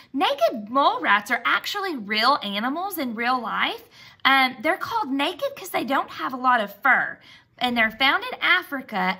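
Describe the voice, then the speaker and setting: A woman teaching a read-aloud lesson to young children. Her voice is bright and fairly high, with very lively pitch. She speaks slowly and expressively in simple words, often checking in with questions.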